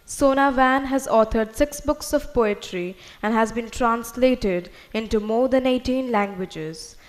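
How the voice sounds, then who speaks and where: Polite woman host with a higher voice. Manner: formal, polite announcing. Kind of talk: formal introduction. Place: festival hall.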